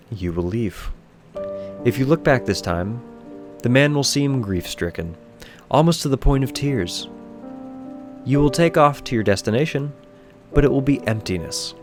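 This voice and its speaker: A man reading a scripted second-person bedtime story in a measured, solemn storytelling manner. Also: medium pitch.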